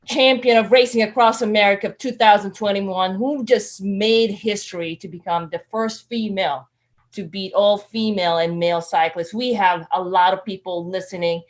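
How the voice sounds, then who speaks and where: A woman hosting a live-streamed interview who praises her guest warmly and speaks eagerly to her viewers. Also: medium pitch, fairly even intonation, normal speed. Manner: enthusiastic, effusive. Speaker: interview host.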